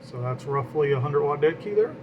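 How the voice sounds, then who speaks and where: Man demonstrating gear over clear background noise. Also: a little high, expressive, brisk, dull audio. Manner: talks to himself while working, explanatory. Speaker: radio hobbyist demonstrating equipment. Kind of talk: informal hands-on demonstration. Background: fan and equipment noise.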